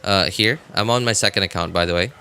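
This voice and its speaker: A man with a fairly low voice that is bright and very clean. He talks off the cuff like a live game streamer chatting with viewers, circling back and repeating words in a halting ramble. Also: smooth, soft background music.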